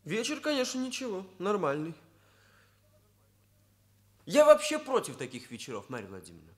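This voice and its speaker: A man's voice: high voice, huge pitch swings, moderate pace, a bit bright, heavily acted. An actor playing a self-serious young male hairdresser in a Soviet radio drama, with formal, bookish phrasing. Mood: stiffly critical. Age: young adult.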